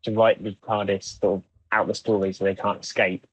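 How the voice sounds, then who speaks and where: A man's voice: fairly low pitch, little pitch movement, fairly quick, slightly rough, no room sound. A man co-hosting a podcast in casual, conversational fan chat. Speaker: podcast co-host. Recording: dull, dry, band-limited microphone.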